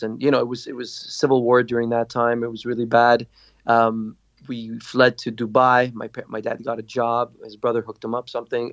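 A man from Lebanon tells his story as a podcast guest, lively and acting out what others said. His voice sounds dry and a little dull, with no room echo. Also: mid-range voice. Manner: animated storyteller, acts out quotes. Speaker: guest, singer and producer. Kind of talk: casual interview storytelling.

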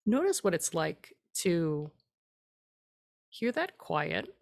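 A woman guides a meditation in a fairly low voice with plenty of vocal fry. She gives gentle, simple instructions in a calm and unhurried manner, leaving space between phrases. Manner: calm, unhurried, leaves long pauses. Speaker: guided tea meditation teacher.

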